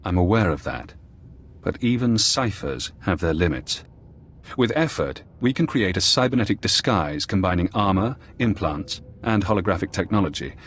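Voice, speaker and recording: An actor voicing a calm, clinical imprisoned ex-operative in a video game, speaking in a measured, precise and dryly matter-of-fact way. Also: male voice, deep voice, slight background noise.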